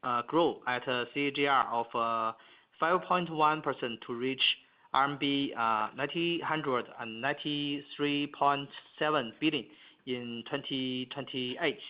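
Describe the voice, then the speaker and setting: A man presenting prepared corporate earnings remarks as an executive, halting and hesitant, with frequent filler sounds and repeated words. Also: mid-range voice. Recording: muffled, band-limited conference call audio.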